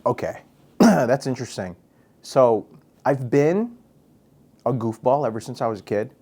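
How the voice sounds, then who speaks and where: Young man from Guam, radio host and MC, relaxed and playful, casual interview tone. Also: mid-range voice, measured pace, slightly rough. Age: young adult. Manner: goofy, laid-back, chatty with fillers. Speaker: radio personality and MC, interview guest.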